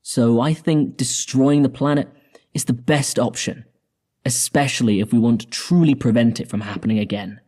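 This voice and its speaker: A man playing a measured, confident spaceship scientist in an audio drama, explaining in a deliberate way. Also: medium pitch, darkish tone, close to an everyday voice. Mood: calm, resolute. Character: measured, confident male spaceship scientist proposing drastic plans.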